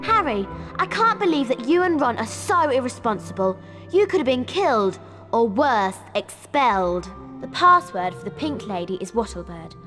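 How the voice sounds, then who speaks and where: A woman's voice: a little high, very animated, normal speed, bright, forceful tone, soft background music. A woman with a British accent voicing a prim, bossy schoolgirl witch in a video game. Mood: scolding. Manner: prim, reproving.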